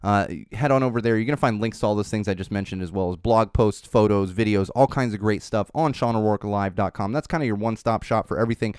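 A man's voice: lowish voice, fast talker, hardly any pauses, darkish tone, clear, resonant tone, no echo, studio quality. Congested chatty male podcast host. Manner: chatty, rambling, self-promoting. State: congested from a cold. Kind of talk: casual solo podcast talk. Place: small studio. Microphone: studio microphone.

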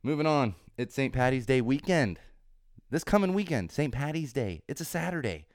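A man hosting his own podcast, chatting casually while congested and a little hoarse from a cold, with a rambling, self-interrupting manner. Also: mid-range voice, very animated, normal speed. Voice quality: slightly hoarse, sore throat. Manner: chatty and rambling, with frequent restarts and laughs. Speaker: podcast host. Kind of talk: casual solo podcast chat.

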